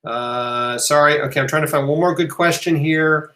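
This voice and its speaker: High-voiced man, emphatic and encouraging. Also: moderate pace, a bit bright. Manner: emphatic, encouraging, repeats key phrases; informal, conversational, checks in with "right?" and "okay?". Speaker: host of a live health Q&A. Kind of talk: casual live question-and-answer talk. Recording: roomy, echoing room sound.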